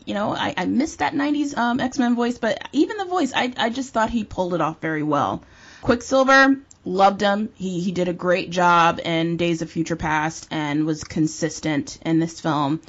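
Low-voiced woman on dull lo-fi audio. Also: normal speed, slightly rough. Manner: opinionated, emphatic. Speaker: panelist reviewing a film. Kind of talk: casual film discussion.

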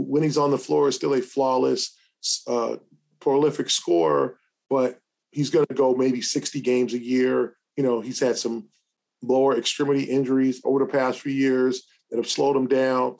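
A man's voice: mid-range voice, creaky, darkish tone. A man co-hosting a sports podcast, giving casual commentary and thinking aloud as he talks, often restarting and hedging. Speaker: podcast co-host.

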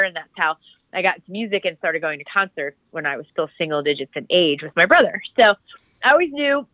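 A woman's voice: on the low side, no room sound. Stuffy-nosed woman on a tinny line. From Philadelphia area, US. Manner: chatty, rambling with fillers and restarts. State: stuffed up with a cold, nasal. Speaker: music publicist, podcast guest. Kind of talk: casual interview storytelling.

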